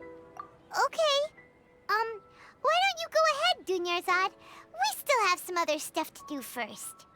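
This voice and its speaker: A very high, squeaky fairy companion for a video game. She is small, childlike and excitable, her pitch swooping all over, with music playing prominently underneath. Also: measured pace, long pauses, exaggerated voice. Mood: hesitant. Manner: exclamatory, speaks of herself by name. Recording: music behind the voice.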